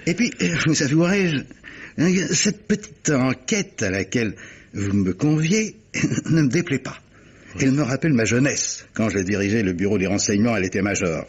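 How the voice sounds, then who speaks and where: A man's voice: mid-range voice, animated, moderate pace, husky, highs cut off. A man playing a worldly, jovial former army captain turned amateur sleuth, theatrical and wry, in an old-style radio mystery. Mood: jovial, leisurely. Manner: theatrical, leisurely, wry, chuckling.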